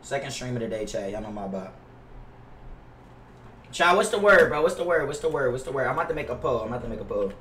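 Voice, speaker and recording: Bright-voiced American man, profane game streamer. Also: medium pitch, lively intonation, fast talker, long pauses, reverberant, recorded from a distance, a little hiss or hum. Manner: profane, slangy, repetitive, griping. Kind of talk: casual livestream chat while gaming. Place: indoor home gaming room. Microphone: headset mic.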